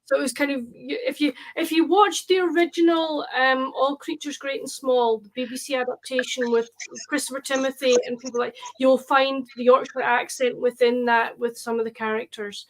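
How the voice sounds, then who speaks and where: Woman, fairly high pitch, little pitch variation, casual chat, halting delivery, guest on a group talk. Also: steady tone, poor audio. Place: indoors on a group video call.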